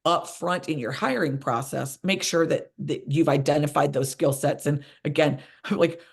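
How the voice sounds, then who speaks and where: A woman's voice: sits low, normal speed, vocal fry, husky. A woman hosting a conversational panel podcast, speaking as a coach and affirming what her guests say. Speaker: podcast host and business coach.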